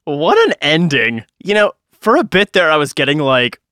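A woman's voice: deep voice, very animated, moderate pace, fairly bright tone, no room sound, studio quality. A woman co-hosting a podcast, reacting in amused, slangy casual chat. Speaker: podcast co-host.

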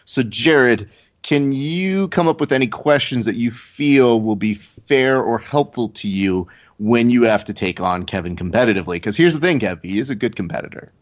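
A man's voice: medium pitch, animated, moderate pace. A man hosting a podcast, chatting casually and shifting into a playful, announcer-like game-show delivery. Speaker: podcast host. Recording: slightly dull lo-fi sound.

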